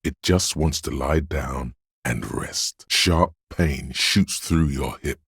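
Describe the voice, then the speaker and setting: A man voicing a grim, goading inner voice of pain and endurance in a video game, narrating with slow, weighty, intense delivery and a husky, gravelly tone. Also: rumbling low, fairly bright tone, no room sound, studio quality, heavily acted. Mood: grim, brooding.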